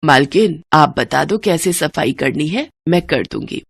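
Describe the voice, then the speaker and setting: Casting: woman voicing a devout working mother of sixty, gentle, deferential, slightly low and dry. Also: animated, a little slow, gravelly, husky, no echo, full character voice. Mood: humble. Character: humble, devout, hardworking sixty-year-old mother and labourer.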